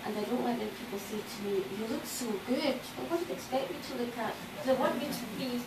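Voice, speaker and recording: Frank woman with a slightly high voice. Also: quick pace. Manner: frank, exasperated. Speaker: panel member. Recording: echoey room, distant mic, noise.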